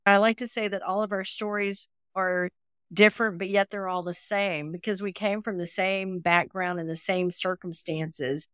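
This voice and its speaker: A woman's voice: medium pitch, steady tone, moderate pace, a bit bright, smooth, no echo. A woman in her sixties telling personal stories as an interview guest, earnest and talkative. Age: 60s. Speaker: interview guest, adoptee advocate. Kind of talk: conversational personal storytelling.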